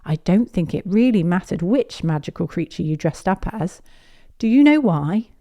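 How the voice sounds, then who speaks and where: A gentle, encouraging lady gazelle who teaches young children in a storybook, voiced by a woman. The tone is soft-edged and mellow, with expressive rises and falls. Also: lowish voice, moderate pace. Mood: kindly. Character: kindly grown-up female gazelle schoolteacher, encouraging. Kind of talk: children's picture book read-aloud.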